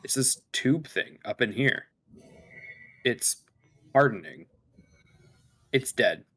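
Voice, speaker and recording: Measured, clinical male science officer played by a man. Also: mid-range voice, animated, fairly bright tone, slightly rough, exaggerated voice. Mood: clinical, intent. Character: calm, detached male science officer on a spaceship. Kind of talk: table read of a sci-fi film script.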